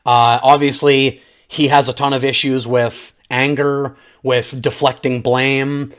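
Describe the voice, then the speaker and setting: A man's voice: mid-range voice, little pitch movement, slow. A man giving an off-the-cuff, opinionated video review, chatting informally and directly to his viewers. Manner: conversational, opinionated, informal slang, addresses audience as guys. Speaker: film reviewer, channel host. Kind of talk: casual unscripted video review, talking to viewers.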